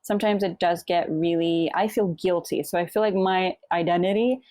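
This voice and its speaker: A young woman from Puerto Rico speaks with a lowish, mellow voice in a relaxed interview. She thinks aloud and sometimes stops to look for a word. The sound is close and dry, with no room around it. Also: normal speed, dry recording. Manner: reflective, laughs often, searches for words. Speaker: author guest. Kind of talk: casual interview conversation.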